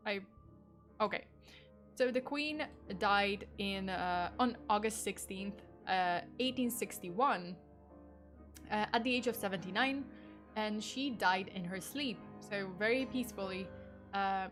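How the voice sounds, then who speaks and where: A woman's voice: medium pitch, fairly bright tone. A young woman with a Polish accent, her first language Polish, chatting casually on a true crime stream with many fillers, laughs and swearing. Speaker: vtuber streamer.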